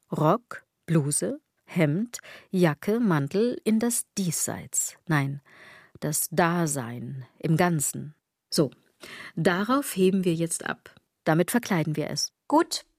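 Sardonic, low-voiced woman in radio monologue. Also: darkish tone, no echo, studio quality, exaggerated voice. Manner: ironic, self-correcting, insistent rhetorical delivery. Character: sardonic, rambling woman brooding on fashion and clothes. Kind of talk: literary radio drama monologue.

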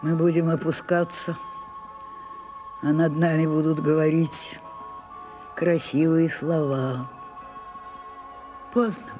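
An older woman playing a proud, weary old French stage actress in a radio drama, declaiming broodingly and dropping into breathy whispers. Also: sits low, little pitch movement, takes it slowly, pauses often, mellow, faint music behind. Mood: bleak, bitter. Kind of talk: theatrical radio drama acting.

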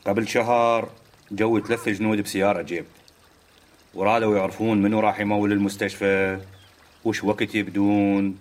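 Casting: male actor for sly, stocky Iraqi haggler, native Arabic speaker, lowish pitch, extremely quick delivery, radio drama. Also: flat delivery, natural voice. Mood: informative. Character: short, stocky, sly Iraqi man bargaining over money.

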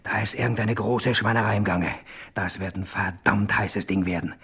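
A raspy, muttering tough guy. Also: lowish voice, fairly even intonation, normal speed, fairly bright tone. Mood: grim. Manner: muttering, gritty. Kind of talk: radio drama acting.

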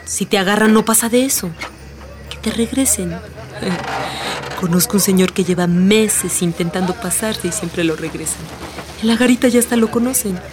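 A woman's voice: medium pitch, normal speed, lightly acted. A woman with a Mexican accent acting in a radio play as a streetwise, protective schoolteacher, plain-spoken and matter-of-fact. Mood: reassuring, practical. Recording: music bed behind the voice.

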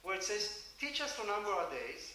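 Bright high-voiced Hebrew-speaking preacher. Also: male voice, sing-song, far from the mic, slight background noise. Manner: speaks in short phrases, pausing for translation. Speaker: guest preacher. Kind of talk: sermon in English with live interpreter. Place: church. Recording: echoey church hall, mic heard from afar.

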